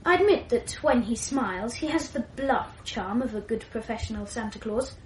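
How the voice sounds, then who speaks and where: A woman's voice: on the high side, animated, normal speed, a little mellow, a little hiss or hum, natural voice, low-quality recording. A woman playing a clever, self-assured twelve-year-old English schoolboy who talks in a precocious, wordy, self-deprecating way, in a radio drama. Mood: reading aloud. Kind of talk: 1980s radio drama acting.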